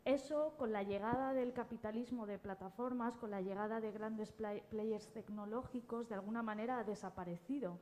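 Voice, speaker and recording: A woman's voice: on the high side, normal speed, few pauses, warm tone, a little hiss or hum. A woman from explaining things as a panelist, thinking aloud and repeating words as she goes. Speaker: podcast editor, panelist. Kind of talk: spontaneous panel discussion. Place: live panel at a festival event.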